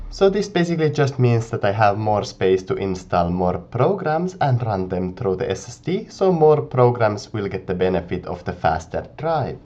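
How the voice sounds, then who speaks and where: Brief: man with a cold, earnest gaming commentator, lively delivery. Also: medium pitch. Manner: earnest, explanatory, animated rise and fall. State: has a cold. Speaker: gaming video creator. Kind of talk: casual commentary to camera. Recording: dull lo-fi mic recording.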